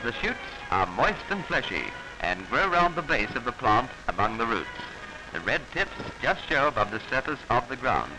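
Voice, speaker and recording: Old 1940s aussie training film guy, formal and instructive, lively up and down delivery, music playing behind him. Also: mid-range voice, poor audio. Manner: instructive, formal, measured diction.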